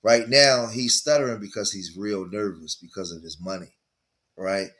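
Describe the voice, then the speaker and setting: A man's voice: fairly low pitch, steady tone, normal speed, a bit bright. Man hosting casual commentary, stuttering. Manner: stutters when anxious, repeats "right?". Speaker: reaction commentary host.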